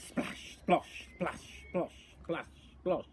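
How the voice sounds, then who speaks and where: A man giving a dramatic, exaggerated and deliberate reading of a children's picture book. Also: on the high side, slight background noise. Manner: theatrical, exaggerated, deliberate delivery with repeated phrases. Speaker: storyteller.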